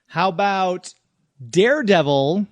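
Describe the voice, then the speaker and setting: A man's voice: high voice, expressive, slow pace, no room sound. A man hosting a radio show in a chatty, informal, off-the-cuff way, moving between casual talk and sponsor reads.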